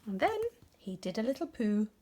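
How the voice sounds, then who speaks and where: Female children's story narrator: expressive, animated pitch, warm and rounded tone. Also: moderate pace. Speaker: storybook reader.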